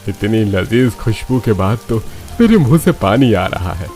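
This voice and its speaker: A man's voice: on the low side, sing-song, a little slow, darkish tone, soft background music. A man playing a playful, food-loving old village grandfather in a cartoon. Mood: eager, delighted.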